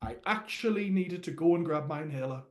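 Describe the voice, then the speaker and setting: Northern English male co-host, casual and laughing, slightly higher voice. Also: lively intonation. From Northern England. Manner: chatty, quick to laugh. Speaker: podcast co-host. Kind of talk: casual chat.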